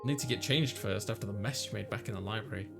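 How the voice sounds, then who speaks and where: A man's voice: lowish voice, quick pace, vocal fry, faint music behind. A man voicing a flustered young male college student who narrates his own story in a visual novel. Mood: easygoing.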